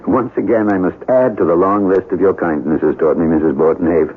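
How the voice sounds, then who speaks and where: An actor playing a troubled, courteous chemist in a 1970s radio drama, with a courtly, earnest, theatrical delivery. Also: male voice, low-pitched, brisk, a little hiss or hum, lightly acted. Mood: uneasy, polite. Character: troubled, courteous male chemist haunted by his past. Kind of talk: 1970s radio drama acting with brooding monologue. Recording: dull vintage radio recording, music behind.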